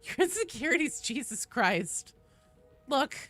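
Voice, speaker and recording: Bright, animated woman streamer. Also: high-pitched, measured pace, faint music behind. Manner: animated, playful, with sudden exclamations; jokey, teasing banter. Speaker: video game streamer and host. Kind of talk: casual live stream chat, reading and answering viewers.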